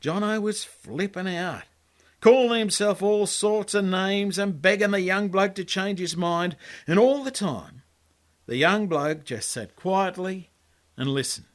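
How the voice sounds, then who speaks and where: Australian man yarning, slightly higher-pitched voice. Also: a little slow. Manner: colloquial, laconic, yarning. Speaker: author reading own story. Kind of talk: storytelling monologue, first-person character narration.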